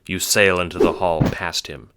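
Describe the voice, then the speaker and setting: A man's voice: low voice, fairly even intonation, moderate pace, fairly bright tone, slight background noise. A man giving dramatic, scripted storytelling narration for an audio drama.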